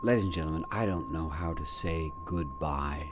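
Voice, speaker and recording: An actor playing a flamboyant, grandiose variety show announcer in a theatrical old-time ringmaster style. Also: male voice, very deep voice, moderate pace, background noise. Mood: solemn farewell. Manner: grandiose, dramatic, sweeping. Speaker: stage show host.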